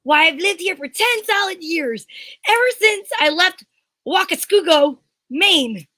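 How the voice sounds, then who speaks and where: A woman's voice: very high-pitched, animated, unhurried, bright, forceful tone, exaggerated voice. A woman playing a defensive, indignant female murder suspect, flustered and exclamatory, in old-time radio mystery style. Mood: evasive.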